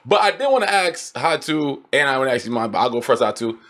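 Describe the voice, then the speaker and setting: A man's voice: mid-range voice, expressive, fast, a bit bright. A man hosting a podcast in a casual, conversational style, with informal, slangy speech. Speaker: podcast host.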